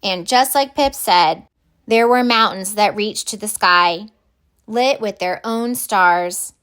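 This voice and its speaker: A woman reading a children's picture book aloud in deliberate, expressive narration. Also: medium pitch, smooth. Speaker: storybook reader.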